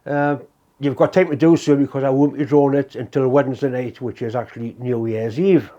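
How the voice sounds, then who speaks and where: A man's voice: mid-range voice, steady tone, a little fast, warm tone, muffled recording. A man, hosting a casual workshop vlog while full of a cold. He talks in a plain, blunt, chatty way. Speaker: workshop channel host. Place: home workshop.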